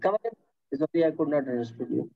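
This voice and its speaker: A male safety instructor lectures his class over a video call and keeps things encouraging, echoing his students' answers back to them. His voice sits a little high for a man. The audio is thin and tinny, like a phone line. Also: animated, quick pace, gravelly. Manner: encouraging, repeats students' answers, frequent "okay" and "very nice". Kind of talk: online lecture with class questions. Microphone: computer mic on a video call.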